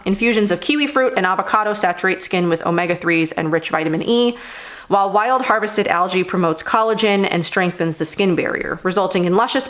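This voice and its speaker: A young woman vlogging about skincare in a rambling, casual way with lots of fillers, her voice slightly hoarse after laryngitis. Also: mid-range voice. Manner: rambling, many fillers like "like" and "you know". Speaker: beauty vlogger. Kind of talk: casual product review chat.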